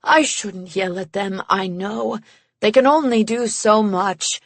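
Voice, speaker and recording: A woman's voice: mid-range voice, slow pace, dry recording. A woman voicing a bitter, volatile genius of a man in an audiobook, intense, condescending and mocking. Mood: agitated apology. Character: bitter, volatile, self-important genius man, haggard and snide. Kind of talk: audiobook character acting.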